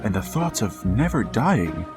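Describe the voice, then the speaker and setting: An actor playing an aging father in a wheelchair, narrating a radio horror drama in a slow, halting, hushed manner. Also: on the low side, big pitch swings. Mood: haunted. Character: older man father in a wheelchair, haunted, resigned narrator. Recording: music bed under the voice.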